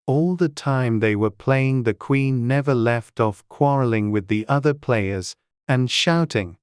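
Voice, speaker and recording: Slow, measured storybook narrator, male. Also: mid-range voice, smooth, dry recording, clean studio sound. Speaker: audiobook narrator.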